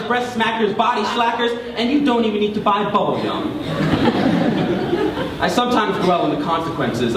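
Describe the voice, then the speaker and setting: A young man performing theatrical spoken word poetry on stage, with dramatic, deliberate phrasing and drawn-out emphasis. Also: sits high. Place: large room before a live audience. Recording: echoey hall, distant mic, clear background noise.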